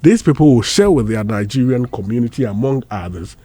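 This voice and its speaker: A man's voice: mid-range voice, animated, a little fast, mellow, no echo. A man, co-hosting a radio show and hyping an event in an upbeat way. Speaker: radio co-host. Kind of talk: casual studio banter.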